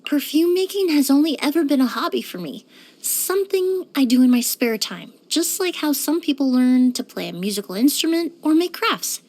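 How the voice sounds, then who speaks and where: A woman playing a courteous, composed young woman in a video game, speaking politely and earnestly with careful, measured wording. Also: sits high, expressive, a little mellow. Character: poised, courteous young woman perfumer and forensic cleaner. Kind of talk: scripted video game character voice lines.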